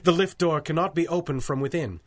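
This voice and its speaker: Man playing a higher-voiced, deferential bank manager. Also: fairly quick, close to an everyday voice. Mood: obliging. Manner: obsequious, formal, fussy. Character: eager, deferential male bank manager, primly formal. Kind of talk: 1930s-style radio drama acting.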